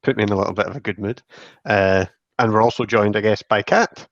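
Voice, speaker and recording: A man's voice: on the low side, a little fast, no echo. A man hosting a podcast with casual, jokey, informal introductions on a slightly dull microphone. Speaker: podcast host.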